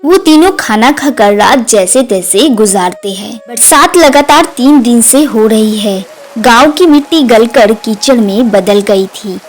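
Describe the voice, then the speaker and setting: A woman's voice: fairly high pitch, animated, a little slow. A woman narrator reading a scripted moral story for a cartoon.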